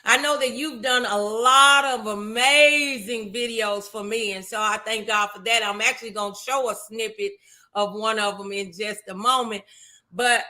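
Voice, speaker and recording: A woman with a very bright, forceful voice hosts a morning talk show. Her delivery is effusive and encouraging, and she likes to repeat phrases as she welcomes and interviews. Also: on the high side.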